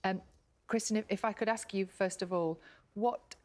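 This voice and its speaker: A woman's voice: medium pitch, fairly even intonation, normal speed. A woman presenter conducting a live broadcast interview on a breakfast show. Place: TV studio.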